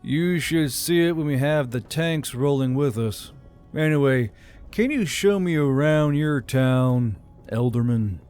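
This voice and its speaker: Folksy, self-assured military leader played by a man, medium pitch, casual and direct, fantasy storytelling. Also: expressive, a little slow, a little mellow, clean tone, soft background music, natural voice. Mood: proud, easygoing. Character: hairy, confident, plain-spoken male army commander.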